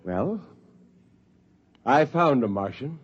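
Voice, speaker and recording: An actor playing a brooding, disillusioned spaceman turned killer in a 1950s radio drama, speaking with deliberate intensity. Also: mid-range voice, animated, breathy edge. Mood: menacing. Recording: dull, hissy 1950s radio recording.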